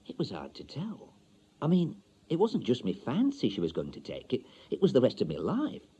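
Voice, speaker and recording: An older Yorkshire bachelor telling his tale in a radio short story. His voice is husky and mellow, with hugely varied pitch. He is chatty, wistful and droll, confiding in plain colloquial speech. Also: huge pitch swings, normal speed. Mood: wry, confiding.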